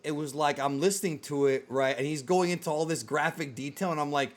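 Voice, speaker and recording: A man's voice: fairly high pitch, fairly quick. A man hosting a casual discussion of films and games, talking in a blunt, informal way that runs to swearing. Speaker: video show host. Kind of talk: casual film and game discussion.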